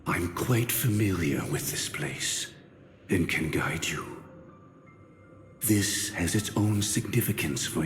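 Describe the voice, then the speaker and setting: A man voicing a calm, courteous spirit formed from memory in an anime game, speaking with formal, solemn deliberation. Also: deep voice. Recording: echoey long reverb, faint noise.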